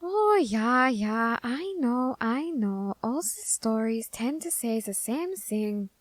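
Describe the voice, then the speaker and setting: Woman doing a nerdy eager grim reaper for asmr roleplay, highish voice, really unhurried, fascinated but kinda threatening, close dry sound. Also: lively intonation, no echo. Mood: curious. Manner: fascinated, deliberate, politely threatening. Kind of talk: ASMR roleplay addressed to the listener.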